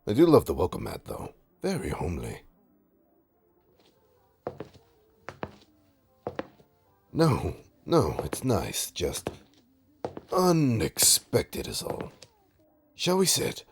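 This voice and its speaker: A man playing a suave, smug supervillain in an audio roleplay, teasing his nemesis with a pompous, mock-polite drawl and dramatic pauses. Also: on the low side, huge pitch swings, soft background music, full character voice. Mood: wry, airy. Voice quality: gravelly, husky. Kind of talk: audio roleplay monologue addressed to listener.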